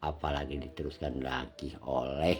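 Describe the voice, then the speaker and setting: A man from Indonesia with a husky voice, giving an impromptu political monologue in an emphatic, scolding, lecturing manner. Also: a bass voice, little pitch movement, normal speed, a little mellow, faint music behind. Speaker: nationalist commentator.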